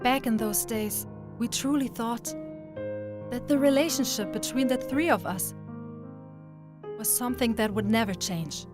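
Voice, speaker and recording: Young female trainer, anime dub played by a woman: higher voice, earnest and expressive, background music. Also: unhurried, pauses often, natural voice. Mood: reflective. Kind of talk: anime-style manga dub acting. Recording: music under the voice.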